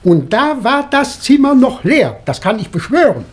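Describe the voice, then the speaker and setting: An actor playing an inquisitive doctor and amateur detective, asking probing questions in a 1960s German radio crime drama. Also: male voice, extremely high pitch, big pitch swings, moderate pace, breathy edge, heavily acted. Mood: probing, assured.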